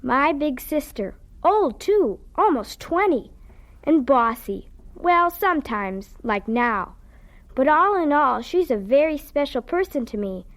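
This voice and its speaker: A woman's voice: high-pitched, sing-song, takes it slowly, full character voice. A woman playing an eager, dreamy ten-year-old farm girl in a radio drama, with a childlike, folksy way of telling her story. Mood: wistful storytelling. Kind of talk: radio drama first-person narration and dialogue.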